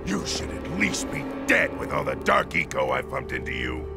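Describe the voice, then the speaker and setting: An actor playing a ruthless, menacing tyrant baron in a video game, imperious and contemptuous. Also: male voice, low voice, wildly swooping pitch, moderate pace, vocal fry, loud music behind the voice, exaggerated voice. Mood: cold fury. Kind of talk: video game villain acting.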